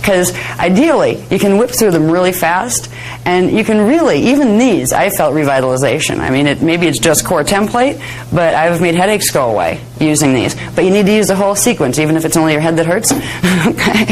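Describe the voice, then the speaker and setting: A woman with a hoarse voice giving a spiritual workshop lecture, chatty and digressive, laughing often. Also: fairly low pitch, expressive, a little fast, hardly any pauses, slight background noise, rough recording. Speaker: spiritual teacher. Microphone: handheld microphone near face.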